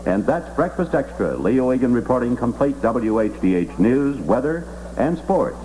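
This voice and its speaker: A man's voice: medium pitch, big pitch swings, moderate pace, dark tone. An American man reading scripted news and advertising copy as a radio announcer on an old broadcast recording. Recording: noisy old 1960s radio aircheck with hum. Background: clear noise and hum.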